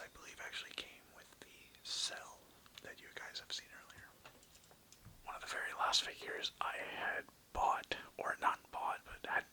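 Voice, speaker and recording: An ASMR host rambling casually in a breathy whisper, loosely strung together with lots of filler words. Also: sharp tone. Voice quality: breathy, mostly unvoiced whisper. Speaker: host of a toy-collection ASMR video.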